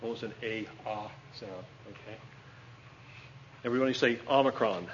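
A man's voice: medium pitch, steady tone, normal speed. A man teaches a class in a chatty, joking manner, checking in with his students often. The recording is slightly dull and lo-fi, with faint hiss under the voice. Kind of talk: classroom lesson at a whiteboard.

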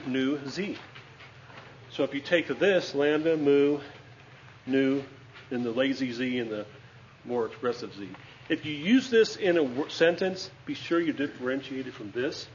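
A man's voice: medium pitch, dull audio, slight background noise. A man teaching a class at a whiteboard, chatty and joking, checking in with his students with a frequent "okay?".

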